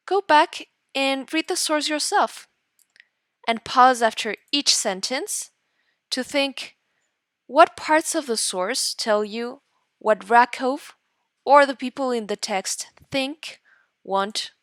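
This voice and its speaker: A woman's voice: high voice, fairly bright tone, no room sound. Woman teacher reading aloud, dry close sound. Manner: deliberate, pausing between short phrases to guide students. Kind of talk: instructional read-aloud lesson narration.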